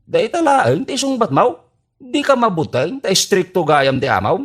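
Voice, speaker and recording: A woman's voice: lowish voice, big pitch swings, moderate pace, breathy edge. A woman playing a gossipy, chummy household driver in a comedy radio drama.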